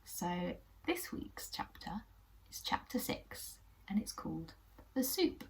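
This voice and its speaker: A young English woman hosting a children's puppet show, telling a story in a gentle, encouraging way. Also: lowish voice, very animated, slow pace, low-quality recording. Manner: gentle, encouraging, sometimes whispery narration.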